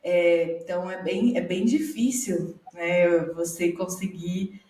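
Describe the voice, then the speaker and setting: A woman's voice: fairly low pitch, fairly even intonation, takes it slowly, warm tone. Thoughtful Brazilian woman, roomy distant sound. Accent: Brazilian Portuguese. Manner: thoughtful, many hesitations and tag questions. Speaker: psychologist guest. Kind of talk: conversational video call discussion.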